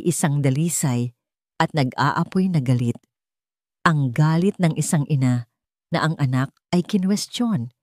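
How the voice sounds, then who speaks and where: A woman's voice: low-pitched, darkish tone, dry recording, studio quality. A woman storyteller reading a scripted romantic drama in a dramatic narrating style.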